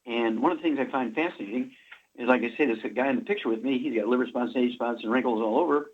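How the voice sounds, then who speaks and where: A man in his seventies hosting a radio show, rambling through a supplement pitch and correcting himself as he goes. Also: quick pace, a little hiss or hum. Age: 70s. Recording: muffled radio broadcast.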